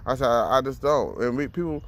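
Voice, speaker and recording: A man's voice: mid-range voice, brisk, fairly bright tone. A man in his thirties talking bluntly and profanely in a casual monologue, often repeating words as he gathers his thoughts. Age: 30s. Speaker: vlogger.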